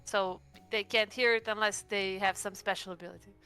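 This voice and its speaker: A woman's voice: mid-range voice, fairly even intonation, brisk, sharp tone, smooth. A woman playing a tabletop roleplaying game, chatting casually and describing what her character does. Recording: music behind the voice.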